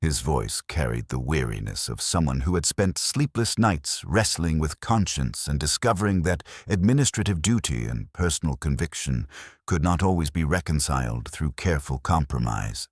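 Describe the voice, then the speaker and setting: A man with a very deep voice narrates a detective mystery. His delivery is formal and measured, yet the pitch moves freely from phrase to phrase. The sound is dry and close, with very little room around it. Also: sing-song, husky, no room sound.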